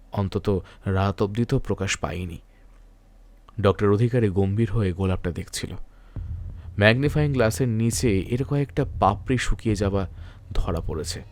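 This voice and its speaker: A man's voice: on the low side, moderate pace, long pauses. A man narrating a scripted detective thriller in a literary, dramatic storytelling manner.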